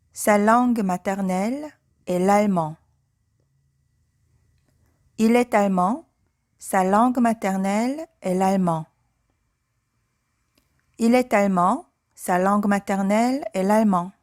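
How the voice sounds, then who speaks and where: A woman language teacher reads short practice sentences from a script. Her voice sits at an average pitch, and she enunciates each phrase carefully in a measured, instructional way. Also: darkish tone. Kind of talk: scripted language drill, sentences read out and repeated.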